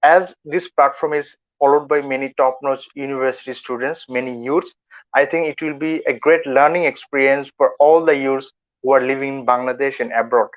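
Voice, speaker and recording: A man opens an online webinar with a formal, halting introduction full of fillers. His voice sits at a middle pitch, and the call audio sounds dull and lo-fi. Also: fairly even intonation. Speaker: webinar moderator.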